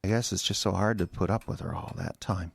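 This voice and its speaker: An actor in an audio drama playing a resentful, defensive husband who stammers and rambles in a halting, wounded way. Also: male voice, low voice, fairly even intonation, vocal fry, breathy edge, full character voice. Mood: brooding, resentful. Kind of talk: naturalistic audio drama acting.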